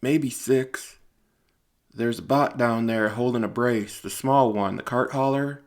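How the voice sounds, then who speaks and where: A man's voice: mid-range voice, pitched above the performer's own voice, lightly acted. Man acting a shaken, terse miner. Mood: shaken, urgent. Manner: terse, urgent, halting. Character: shaken miner from the wilds reporting a disaster.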